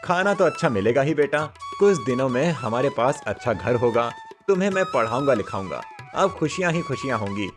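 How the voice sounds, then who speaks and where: A man's voice: mid-range voice, sing-song, a little fast, music in the background, lightly acted. A man voicing an honest, hopeful village husband and father in a cartoon story, earnest and plain-spoken. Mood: happy, hopeful.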